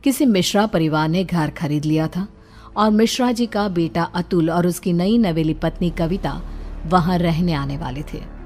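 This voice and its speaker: A woman's voice: on the low side, unhurried, darkish tone, faint music behind. A woman reading scripted narration for a horror cartoon story.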